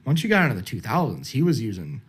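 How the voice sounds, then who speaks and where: A man with a faint Southern Midwestern accent chats about music in a relaxed, talkative way. He is opinionated and wanders off topic, and his voice sits at a middling pitch. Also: fast talker, husky. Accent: slight Southern Midwestern. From Southern Indiana. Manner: digressive, opinionated, lists favorites eagerly. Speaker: podcast host. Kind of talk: casual music chat.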